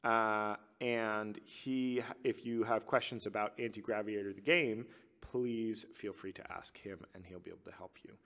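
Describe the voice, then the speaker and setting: A man's voice: fairly low pitch, fairly even intonation, normal speed, fairly bright tone. A man hosting a live training stream, chatting loosely with viewers and reading their chat aloud. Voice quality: gravelly. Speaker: livestream host.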